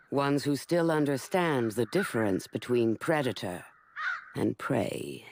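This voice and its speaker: A woman voicing a ruthless, commanding female warlord in a game cutscene, speaking slowly and gravely with a hushed menace. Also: rumbling low, dry recording, exaggerated voice. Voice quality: slow, measured, grave delivery with hushed menace. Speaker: villain narrator. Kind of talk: scripted video game cutscene narration.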